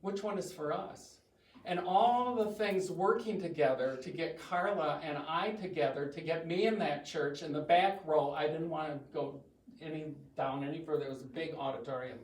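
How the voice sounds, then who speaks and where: A man's voice: a little high, normal speed. An older man from the US Midwest with a big voice, giving a chatty, self-joking talk to a church congregation. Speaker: guest speaker. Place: church hall. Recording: echoey hall from far off.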